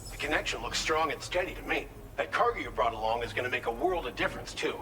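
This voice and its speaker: A man's voice: medium pitch, expressive, fast talker, background noise, close to an everyday voice. A man playing a friendly, grateful settlement worker in a video game, explaining things in an earnest, helpful way. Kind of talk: video game cutscene dialogue.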